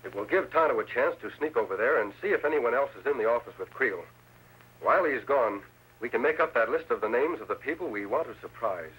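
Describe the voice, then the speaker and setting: An actor playing a masked frontier hero, commanding and decisive, giving crisp orders in a vintage radio western. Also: male voice, medium pitch, animated, a little fast, fairly bright tone, natural voice, poor audio. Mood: cautioning. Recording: hissy old radio recording.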